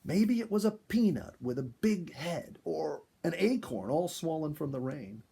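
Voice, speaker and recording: Animated, gravelly male storyteller. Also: fairly high pitch, a little slow. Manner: expressive, acts out dialogue and exclamations. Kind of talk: dramatic narrated animal story read aloud, first person.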